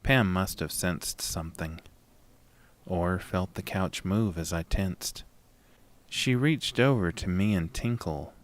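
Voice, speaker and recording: A man narrating a scripted first-person horror story as a dramatic, measured storyteller. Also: sits low, noisy background.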